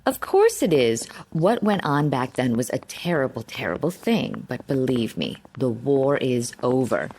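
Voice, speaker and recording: A very deep-voiced, gracious housewife in a radio play. She chats in a trusting, neighborly way with plenty of rise and fall, over faint background noise. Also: sing-song, measured pace, hardly any pauses, darkish tone, heavily acted. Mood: reassuring. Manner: gracious, chatty, reassuring. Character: kindly neighborly suburban housewife, cheerful and trusting. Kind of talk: radio drama acting.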